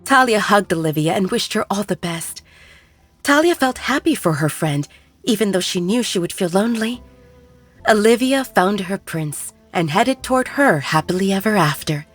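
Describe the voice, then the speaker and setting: A woman's voice: medium pitch, animated, normal speed. A woman reading scripted dramatic narration as the narrator of an audio story. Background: background music.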